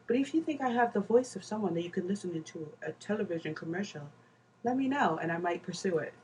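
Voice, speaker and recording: A woman's voice: fairly low pitch, expressive, quick pace, a little mellow. A woman co-hosting a podcast, chatting casually and saying "like" a lot. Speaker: podcast co-host. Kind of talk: casual chat. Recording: distant mic with faint noise.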